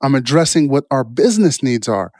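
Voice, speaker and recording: A man's voice: medium pitch, very animated, a little fast, a little mellow, dry recording, studio quality. A man speaking informally in a self-help style, reflective and rambling through personal stories, often checking in with a questioning "right?". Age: adult, past college years. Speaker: motivational speaker.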